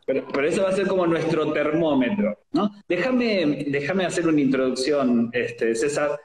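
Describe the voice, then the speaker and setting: A man with an Argentine accent hosting a casual interview over a video call, playful and joking, filling pauses with "este". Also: a little high, expressive, darkish tone, roomy sound, poor audio. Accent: Argentine Spanish. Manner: playful, joking, many fillers. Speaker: host.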